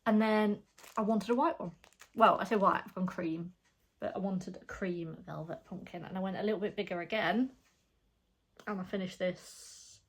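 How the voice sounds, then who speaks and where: A woman's voice: medium pitch, normal speed. A woman, a bit bunged up with a cold, chatting casually and rambling in a craft vlog at home. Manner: rambling, chatty, soft-spoken with frequent fillers. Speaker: flosstube vlogger. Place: her home.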